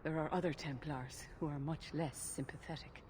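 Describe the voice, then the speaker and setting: A woman's voice: low-pitched, fairly even intonation, moderate pace, a little mellow, a little hiss or hum, natural voice. An actress playing an anxious elven mother who pleads earnestly for help finding her son, in a game cutscene.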